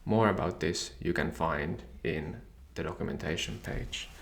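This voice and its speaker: A man whose first language is Finnish, calmly and methodically walking through a software tutorial. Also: a bass voice, unhurried, creaky. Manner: calm, methodical explaining. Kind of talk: screen-share software tutorial.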